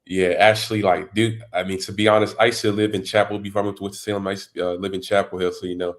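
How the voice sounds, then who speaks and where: Low, flat-voiced teenage boy, rambling. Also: fast. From Democratic Republic of the Congo. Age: teens. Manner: rambling, many fillers like "you know" and "and all that". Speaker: high school football recruit. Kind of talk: casual interview answers.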